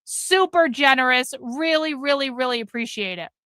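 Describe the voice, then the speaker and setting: Blunt, high-voiced woman livestreamer. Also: moderate pace, pushed and bright, smooth, dry recording, studio quality. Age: 40s. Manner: blunt, profane, opinionated. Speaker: livestream host. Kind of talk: livestream commentary reading super chats.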